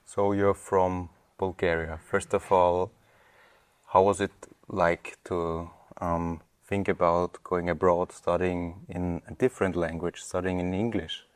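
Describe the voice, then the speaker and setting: Deep, flat-voiced young Austrian man. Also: a little slow, clean tone, no echo. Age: young adult. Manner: polite, slightly formal, reads prepared questions. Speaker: student host. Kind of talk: interview hosting.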